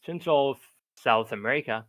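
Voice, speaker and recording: Brief: male speaker from Barbados, mid-pitched, casual and hesitant, often restarting, recorded dry. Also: a bit bright, no echo. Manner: hesitant, halting, repeats himself. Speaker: English class participant. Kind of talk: casual chat.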